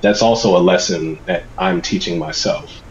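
Male guest, very low voice, a bit stuffy, dull webcam audio, calm advice-giving tone. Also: moderate pace. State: congested from a cold. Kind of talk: casual video call chat. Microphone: video call webcam.

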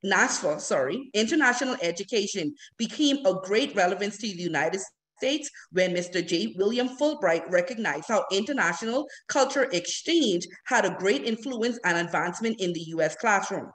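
A young woman from the Bahamas, reading a prepared student presentation over a video call, earnest and steady with occasional slips. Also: medium pitch, moderate pace. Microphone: laptop webcam on a video call.

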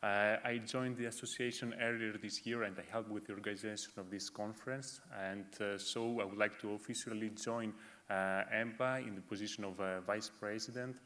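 A young man, a doctoral student, introducing himself as a board candidate in a conference hall, steady but with fillers. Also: lowish voice, brisk. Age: young adult.

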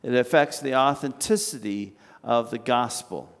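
An older man, a pastor, preaching a sermon from a pulpit in a large church sanctuary, with slow, measured delivery and long pauses. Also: medium pitch. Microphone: standing at a pulpit, far camera. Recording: reverberant church hall.

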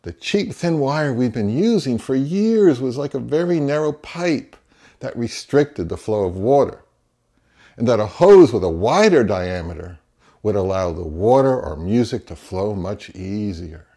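A man in his fifties hosts an audio review vlog with a mid-pitched voice and very animated intonation. He talks straight to camera in an opinionated, emphatic manner, with a wry edge. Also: measured pace. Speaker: audio review channel host. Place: small home office, indoors.

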